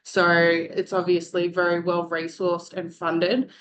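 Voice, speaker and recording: A woman with a loud, projecting voice gives a prepared presentation to an audience as a student speaker. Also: fairly low pitch, completely flat delivery, slow, noisy background.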